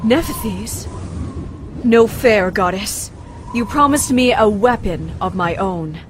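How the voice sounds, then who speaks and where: A woman's voice: mid-range voice, slow, close to an everyday voice. Woman doing fierce amazon warrior girl for a motion comic, hot tempered and blunt, bit of background noise. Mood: insistent. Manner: blunt, defiant, dramatic.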